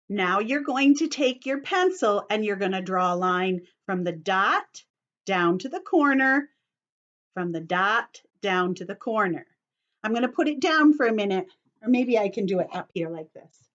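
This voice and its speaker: Woman teaching children, slow and very animated. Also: mid-range voice. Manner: patient, simple, sing-song explaining to young children; checks in with questions to listeners. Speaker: children's teacher hosting an online story time. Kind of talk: children's craft and science lesson, step by step. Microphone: computer's built-in camera and microphone. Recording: dull lo-fi computer microphone.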